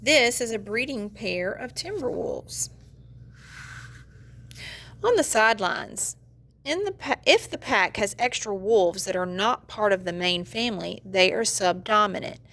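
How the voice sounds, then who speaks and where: A woman's voice: medium pitch, lively intonation, unhurried, fairly bright tone. A woman teacher giving an instructional read-aloud of a children's nonfiction book, explaining and putting questions directly to young learners. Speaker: teacher reading aloud to students.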